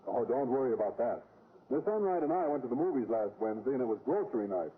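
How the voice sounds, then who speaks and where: An actor playing a naive, mild-mannered schoolteacher, earnest and innocent, in an old radio sitcom. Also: male voice, medium pitch, expressive, fast talker, lightly acted. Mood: apologetic. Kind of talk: 1940s-50s radio sitcom acting. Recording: tinny vintage radio with faint noise.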